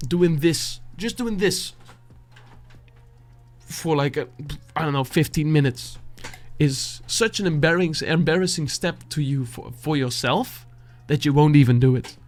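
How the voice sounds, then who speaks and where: A Dutch man, a native Dutch speaker, talking softly and haltingly, searching for words and sighing as he reflects after a song. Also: on the high side. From Netherlands. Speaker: beatboxer reacting to music. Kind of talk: reflective reaction talk.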